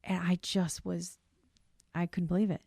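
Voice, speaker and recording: A woman's voice: lowish voice, moderate pace, vocal fry, breathy edge, dry recording, studio quality. A woman with a Cuban accent, a business owner guest on a podcast, telling her story in an earnest, heartfelt way. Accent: Cuban Spanish. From Cuban family, grew up on the US East Coast. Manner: earnest, emotional, lively when amused. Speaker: podcast guest, insurance brokerage CEO. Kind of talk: conversational interview storytelling.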